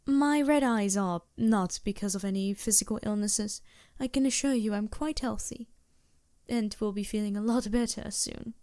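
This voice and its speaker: A woman's voice: mid-range voice, normal speed, no room sound, clean studio sound, close to an everyday voice. A woman playing a polite, reassuring vampire merchant in a fantasy ASMR roleplay, speaking formally with small hesitant asides. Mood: earnest, reassuring. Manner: genteel, formal phrasing with hesitant asides and soft chuckles.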